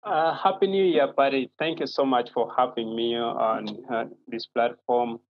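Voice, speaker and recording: Man, 30s, Ugandan accent, Acholi first language, polite, unhurried pauses, dull remote-call sound. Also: mid-range voice, fairly even intonation, no echo. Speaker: guest author. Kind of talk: interview.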